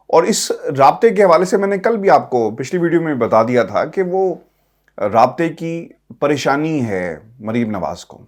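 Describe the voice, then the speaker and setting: A man with a Pakistani accent who gives news commentary to his viewers as a political vlogger, speaking emphatically with rhetorical questions and repeated phrases. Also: on the high side.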